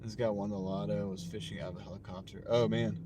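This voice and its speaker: A man with a lowish voice rambles and jokes casually while driving for deliveries, laughing at his own asides. He sounds set back from the mic, and a loud rumble of the car on the road fills the background. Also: steady tone, fast talker, distant mic. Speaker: delivery driver streaming live. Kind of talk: casual rambling live-stream chat. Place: inside a moving car. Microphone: wireless clip-on mic.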